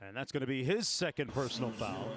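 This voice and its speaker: A man's voice: mid-range voice, animated, fast talker, crowd noise. A man doing polished, emphatic play-by-play commentary for a basketball broadcast. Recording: loud arena noise, bouncing ball behind. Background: game ambience and bouncing basketball.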